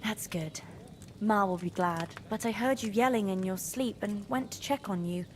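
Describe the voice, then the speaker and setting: A woman's voice: medium pitch, moderate pace, a little hiss or hum, lightly acted. An actress playing a cheerful young village woman in a game, chatty and teasing with rustic speech. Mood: teasing, reassuring.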